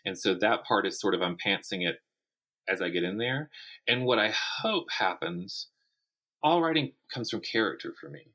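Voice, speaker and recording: Low-pitched man, author in conversation. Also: lively intonation, moderate pace, bright, forceful tone. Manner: talks in restarts and repeated words. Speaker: guest author. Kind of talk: casual interview conversation.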